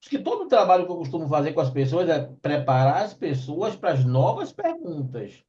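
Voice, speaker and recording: Man, fifties, casual host, mentor manner, fairly high pitch, distant lo-fi sound. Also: very animated, brisk, warm tone. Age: 50s. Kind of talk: casual interview chat.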